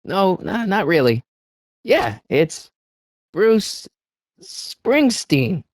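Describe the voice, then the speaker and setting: An earnest American teenage boy from an adventure video game. His voice is high and youthful, rising and falling with animated urgency. He pleads and persuades eagerly, with a nervous, stammering edge, recorded dry and clean. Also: no room sound, full character voice. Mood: nervous, hesitant. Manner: hesitant, stammering, eager, fast-talking persuader. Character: earnest, anxious teenage boy hero of a time-travel adventure. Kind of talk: video game character dialogue.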